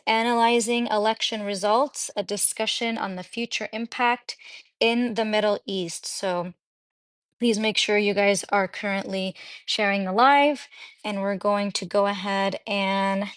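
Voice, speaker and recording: A woman's voice: medium pitch, slow pace, no echo. A woman hosting a casual live panel stream, welcoming guests and reading introductions with frequent "um" fillers.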